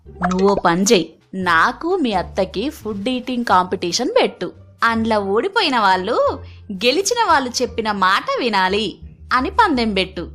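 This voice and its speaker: A woman's voice: mid-range voice, a bit bright, voice pushed down, close to an everyday voice. A woman voicing a bossy, scheming older aunt in a Telugu cartoon story, boastful and colloquial, with a Telangana accent.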